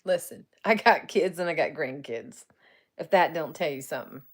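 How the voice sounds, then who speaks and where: A woman's voice: low-pitched, expressive, moderate pace. A woman in her forties, a psychic medium, chatting casually with viewers on a livestream. She often laughs, greets people by name and uses colloquial grammar. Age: 40s. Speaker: psychic medium and paranormal live streamer. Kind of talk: casual livestream chat answering viewer comments.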